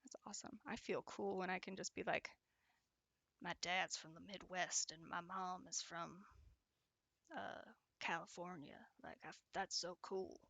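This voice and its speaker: Young woman craft streamer with a bright, gravelly voice, chatty and laughing often, in a dry, close sound. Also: lowish voice, steady tone, a little slow, no echo. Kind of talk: casual chat while crocheting and reading chat.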